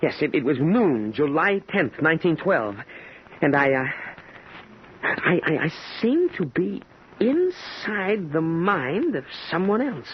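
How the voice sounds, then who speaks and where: An actor playing an earnest, dazed young man in an old-time radio drama, stammering and halting as he speaks. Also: fairly high pitch, very animated. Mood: shaken, earnest. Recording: noisy, muffled vintage radio recording.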